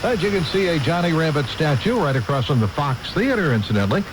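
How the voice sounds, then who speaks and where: A man's voice: fairly high pitch, very animated, quick pace. A man hosting a radio show, chatting casually in a rambling way on a noisy radio recording. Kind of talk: casual on-air chat. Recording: noisy, hissy radio aircheck.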